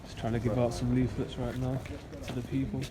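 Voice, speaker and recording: A man's voice: medium pitch, monotone, fairly quick. A man chatting casually and asking questions for a vlog. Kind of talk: casual chat. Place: outdoors downtown. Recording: very noisy outdoor city street.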